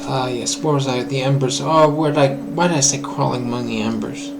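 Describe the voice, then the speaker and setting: A hoarse man thinking aloud while he programs, muttering to himself and drifting into mock-grand asides. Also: mid-range voice, fairly quick. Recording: clear background noise.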